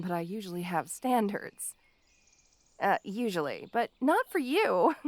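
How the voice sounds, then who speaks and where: A woman playing a petty, obsessive supervillain in an audio roleplay, gloating haughtily and speaking straight to the listener with theatrical pauses. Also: on the high side, no room sound. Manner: gloating, haughty, dramatic pauses and sudden swoops. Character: petty, obsessive, melodramatic female supervillain with a grudge.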